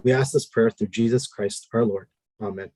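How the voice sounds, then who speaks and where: A man chairing a formal board committee meeting, leading a prayer and calling items in a measured, procedural way with frequent fillers. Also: mid-range voice, dark tone, breathy edge, dry recording, rough recording.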